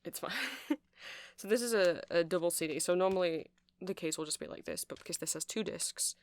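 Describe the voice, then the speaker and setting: A woman's voice: fairly low pitch, fairly quick, darkish tone. A woman giving a rambling, chatty ASMR demonstration, explaining things step by step. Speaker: ASMR creator.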